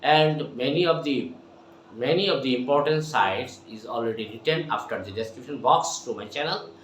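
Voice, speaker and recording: A man from Bangladesh with a Bangladeshi English accent, teaching English by repeating tongue twisters slowly and emphatically. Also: medium pitch. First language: Bengali. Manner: repeats phrases slowly and emphatically, addresses viewers as my dear. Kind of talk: tongue twister drill lesson. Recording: echoey room, faint background noise.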